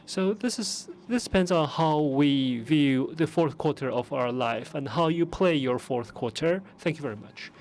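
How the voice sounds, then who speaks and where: A man giving simultaneous interpretation of conference speeches, measured and pausing often to restart. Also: a little high, darkish tone, a little hiss or hum. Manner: measured, with frequent pauses and restarts.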